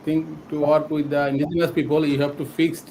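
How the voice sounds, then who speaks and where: A man with a mellow, fairly high voice. He asks a presenter pointed, direct questions at an academic workshop. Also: level tone, a little fast, a little hiss or hum, rough recording. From Bangladesh. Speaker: audience member asking a question.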